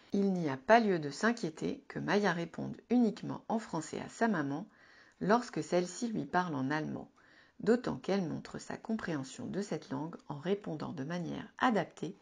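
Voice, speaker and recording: A woman narrating a written advice article in a calm, measured, instructive reading. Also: fairly low pitch, gravelly, breathy edge. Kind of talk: scripted informative narration.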